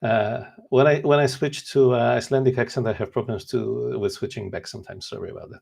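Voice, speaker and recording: A man's voice: medium pitch, steady tone, brisk, gravelly, darkish tone, husky. A man in his forties from Poland, a native Polish speaker, talking earnestly and candidly as an author guest in an interview. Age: 40s. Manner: earnest, candid, self-deprecating. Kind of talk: casual interview chat.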